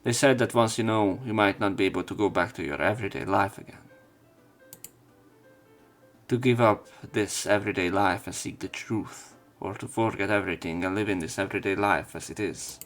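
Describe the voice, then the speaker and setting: A man's voice: fairly low pitch, moderate pace, faint music behind. A man narrating a visual novel as a let's player, reading its story and dialogue aloud.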